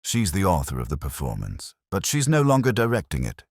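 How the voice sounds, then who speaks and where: A man reads as a calm, shrewd male police inspector in an audiobook mystery. The voice is extremely low and deliberate, quiet and courteous, with a dry sound. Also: no room sound, full character voice. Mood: quietly certain.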